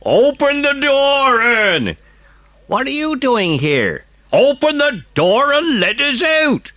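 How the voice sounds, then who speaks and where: A man's voice: extremely high pitch, very animated, slow pace, no room sound, pitched above the performer's own voice, full character voice. A man voicing a pompous, bullying village policeman in an audiobook story, blustering and overheated. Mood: furious shouting. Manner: blustering, shouting, grumbling.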